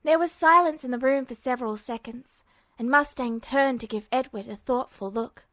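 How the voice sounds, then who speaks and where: A woman reading fanfiction aloud as podfic narration in a steady, even manner. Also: sits high, normal speed, no echo, studio quality. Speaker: fanfiction reader.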